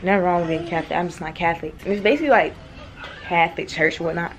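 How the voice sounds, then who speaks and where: A woman's voice: lowish voice, poor audio. Teen girl vlogger, casual and playful, talking on a phone camera, faint room hum. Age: teens. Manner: chatty, playful, quick asides and laughs. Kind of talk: casual vlog chat to camera. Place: at home in a bedroom, later in a large store. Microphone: phone camera held at arm's length.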